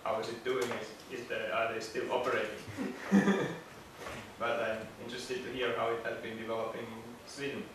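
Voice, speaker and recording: A man from Finland introducing himself at a workshop, halting with many restarts. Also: medium pitch, flat delivery. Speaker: workshop participant. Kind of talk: self-introduction, hesitant. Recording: echoey room from far off, noisy.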